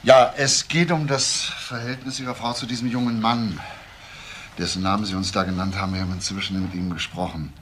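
A male actor plays a police investigator in a crime radio play. He is polite yet probing, with a lowish voice. Slight noise is audible behind him. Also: flat delivery, brisk, fairly bright tone, close to an everyday voice. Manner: polite, questioning. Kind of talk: German crime radio drama acting.

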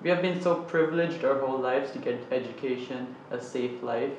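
A man's voice: medium pitch, brisk, a little hiss or hum. Earnest man arguing in an echoey studio. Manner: earnest, argumentative. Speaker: panelist. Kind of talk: opinionated discussion. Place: studio with panel on a couch. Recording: echoey, slightly dull studio sound.

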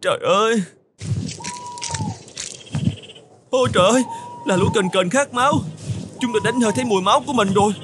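A man voicing a high-pitched, whiny young camel. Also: racing, over music, close to an everyday voice. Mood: alarmed. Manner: whiny, exclamatory, melodramatic. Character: anxious young male camel, plaintive and hot-tempered. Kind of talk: cartoon voice acting.

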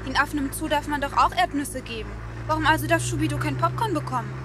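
A woman's voice: sits high, fast, close to an everyday voice. A woman voicing a cheeky, outspoken child in a children's audio drama.

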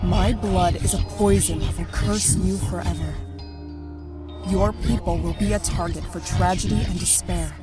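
A woman voicing a dying, vengeful sea minigod in a comic dub, pronouncing a curse with deliberate, ominous hatred. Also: on the low side, steady tone, over loud music, lightly acted. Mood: hateful, ominous.

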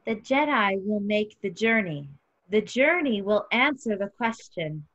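A woman's voice: mid-range voice, expressive, fairly bright tone, muffled recording. A woman playing a cryptic alien seer who speaks in solemn, oracular broken phrases. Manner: slow, oracular, broken syntax.

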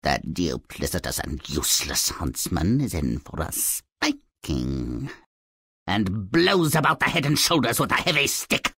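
A haughty, evil storybook queen for a game. Her voice is low and a little husky, with slow, theatrical, imperious phrasing. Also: sing-song, a bit bright, no echo, clean studio sound, heavily acted. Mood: indignant. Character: vain, wicked, haughty fairy-tale queen. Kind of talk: exaggerated fairy-tale game acting.

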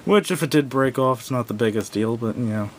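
Male reviewer, medium pitch, casual and unscripted, halting and self-correcting, home recording with slight rumbling noise. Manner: hesitant, rambling, self-correcting. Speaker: product reviewer. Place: indoors at a wooden table.

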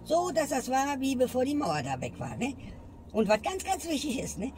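A woman's voice: high-pitched, very animated, moderate pace, a little mellow, slight background noise. A woman ranting in a comedy sketch and ending her lines with a questioning tag. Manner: grumbling, tags lines with "ne?". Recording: music bed behind voice. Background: music.

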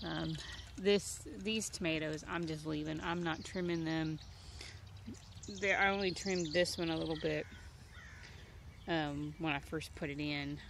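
A woman's voice: low voice, steady tone, a little slow, vocal fry, pauses often, a little hiss or hum. A woman in her thirties giving a casual, rambling tour of her backyard garden, filled with ums as she thinks aloud, recorded outdoors on a handheld phone. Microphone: handheld phone camera. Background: birds and outdoor nature sounds.

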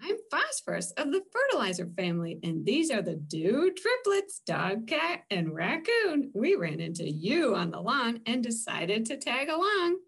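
Woman as a chummy, husky cartoon pollutant. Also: a little high, huge pitch swings, normal speed, hardly any pauses, full character voice. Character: chatty, clingy pollutant hitchhikers: motor oil and fertilizer.

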